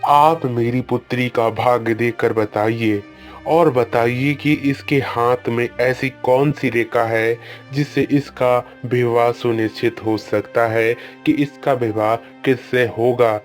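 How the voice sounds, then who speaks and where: Guy doing a worried cartoon king, pleading then bossy, middle-pitched, soft music under. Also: fairly even intonation, slow, close to an everyday voice. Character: worried old king, anxious for his daughter. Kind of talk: Hindi cartoon storybook voice acting.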